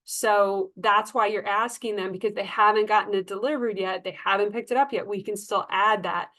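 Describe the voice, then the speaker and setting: A woman's voice: mid-range voice, steady tone, normal speed, bright, forceful tone. A woman working as a sales trainer, talking staff through tips in a conversational, emphatic coaching manner. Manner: coaching, emphatic, conversational, acts out example dialogue. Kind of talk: informal staff training talk.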